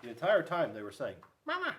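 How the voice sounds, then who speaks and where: A man reads a picture book aloud to children. His delivery is slow, with a mid-range voice that moves up and down in pitch, and he leans on dramatic pauses. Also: lively intonation, a little mellow, distant mic. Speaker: school resource police officer reading to children. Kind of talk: storybook read-aloud.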